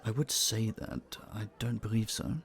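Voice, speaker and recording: Low, creaky gentlemanly young prince acted by a man. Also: steady tone, unhurried. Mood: flustered, defensive. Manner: playful, polite, slightly flustered, hesitant stammers. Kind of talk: romantic audio roleplay, speaking to a listener. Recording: faint noise, background ambience.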